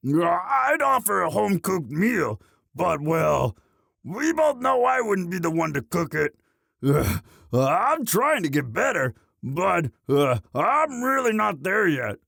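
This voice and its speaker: Orc boyfriend, male performer: sheepish, devoted muscle, halting unhurried delivery, very dry close sound, romantic audio drama. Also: high voice, very animated, gravelly, a bit bright, dry recording, exaggerated voice. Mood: sheepish, eager. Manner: halting, sheepish, stammering with many uhs. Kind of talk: romantic roleplay audio monologue.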